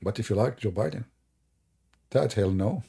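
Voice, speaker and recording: Arabic-accented guy on a live stream, mellow husky voice, joking and mocking. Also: on the low side, normal speed. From Middle East. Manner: sarcastic, teasing, jokey.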